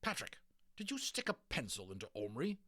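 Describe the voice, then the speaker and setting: A man's voice: mid-range voice, huge pitch swings, brisk, bright, forceful tone, pitched above the performer's own voice, heavily acted. A man voicing a strict, irritable male schoolteacher in a storybook, barking sternly. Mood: irritated, furious.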